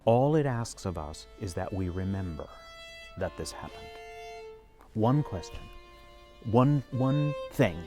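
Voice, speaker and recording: A man speaking reflectively in an interview, in a measured, solemn and emphatic way. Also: fairly low pitch, expressive, over music.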